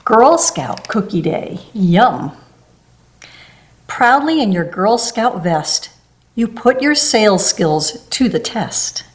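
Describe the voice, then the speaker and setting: A woman reading a rhyming children's picture book aloud, gentle and unhurried, with small asides between the pages. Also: medium pitch, very animated. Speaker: storybook reader.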